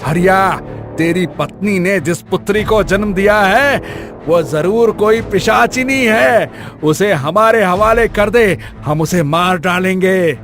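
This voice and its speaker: A cruel village moneylender from a cartoon, acted by a man, with a high, gravelly voice. He commands and threatens in a slow, menacing delivery over light music. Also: fairly bright tone, exaggerated voice. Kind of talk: Hindi cartoon voice acting. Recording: light music bed behind.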